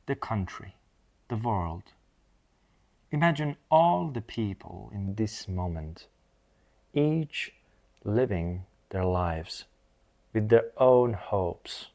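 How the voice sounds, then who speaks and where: Very slow, low-voiced man guiding meditation. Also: darkish tone. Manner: soothing, measured, with long pauses between short phrases. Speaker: meditation guide. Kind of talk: guided meditation, scripted.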